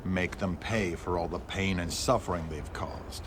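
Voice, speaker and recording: Honorable game military leader, firm, formal, lower-pitched, noisy recording. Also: male voice, steady tone, unhurried. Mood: firm resolve. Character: resolute, honorable male guild commander. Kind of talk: video game voice acting.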